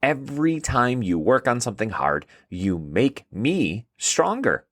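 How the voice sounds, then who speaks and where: A man puts on a brainy, bespectacled guide from a kids' storybook. He sounds upbeat and instructive, taking his time with animated swings in pitch. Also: measured pace, no room sound. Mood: encouraging. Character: bespectacled, encouraging brainy problem-solver, a frontal lobe.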